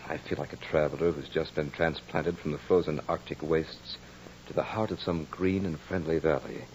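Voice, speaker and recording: A man's voice: a bass voice, fairly even intonation, moderate pace, a little mellow, slight background noise. An actor playing a French nobleman and former soldier in an old radio drama, speaking earnestly in a formal, theatrical way. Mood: wistful, happy. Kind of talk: 1940s radio drama acting. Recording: dull old radio recording with music.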